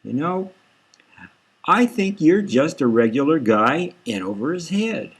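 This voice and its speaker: Man playing guileful, coaxing female investigator, slow-paced. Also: a little high, very animated, slightly rough, voice pushed up, heavily acted. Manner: coaxing, soothing, leading questions. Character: guileful female crime fighter coaxing a suspect kindly. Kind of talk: dark satirical audio novel dialogue.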